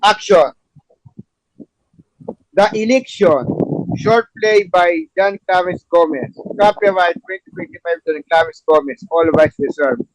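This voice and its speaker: Halting, high-pitched man hosting a play reading. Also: background noise. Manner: halting, repetitive phrasing. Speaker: show host and stage-direction reader. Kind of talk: hosting and narrating a play table read.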